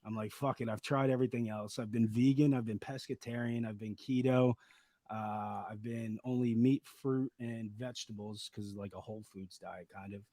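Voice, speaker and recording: Raspy-voiced man telling a story. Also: lowish voice, fairly even intonation, moderate pace, warm tone, no room sound. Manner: rambling, laid-back storytelling with many fillers. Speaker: podcast guest. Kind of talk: casual chat.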